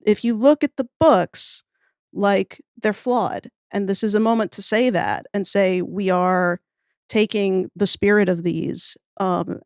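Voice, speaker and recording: A woman's voice: mid-range voice, a little mellow, dry recording, studio quality. A woman speaking as a podcast guest in casual, opinionated conversation, thinking aloud in an informal, emphatic way. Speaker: podcast guest, audio fiction writer.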